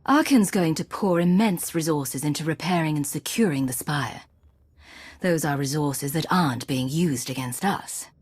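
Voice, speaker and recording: Cool, husky-voiced secret agent. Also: female voice, deep voice, darkish tone, no echo. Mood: calm, firm. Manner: measured, cool, restrained. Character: composed, calculating female secret agent and commander. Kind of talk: video game cutscene acting.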